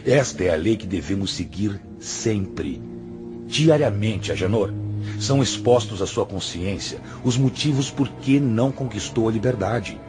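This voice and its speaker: A man's voice: fairly low pitch, expressive, unhurried. A man acting in a radio drama as a stern, patient spiritual master, speaking in a solemn, admonishing and didactic way. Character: stern, patient male spiritual master, grave moral counselor. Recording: music under the voice.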